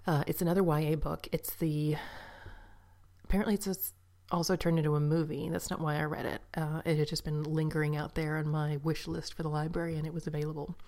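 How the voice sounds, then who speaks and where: A woman chatting casually about books she has read, rambling with fillers and asides, sometimes dropping to a soft whisper. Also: low-pitched, little pitch movement, fairly quick. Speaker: book vlogger. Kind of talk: casual book review chat.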